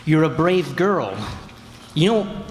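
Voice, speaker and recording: A man's voice: on the high side, lively intonation, takes it slowly. A man playing a menacing, taunting killer who extorts women in an old-time radio crime drama. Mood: cool menace. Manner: taunting, threatening. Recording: echoey hall, background noise.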